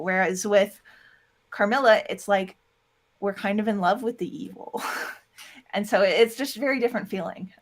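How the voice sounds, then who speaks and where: An audio drama producer speaking casually as an interview guest, animated and often acting out little quoted lines. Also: mid-range voice.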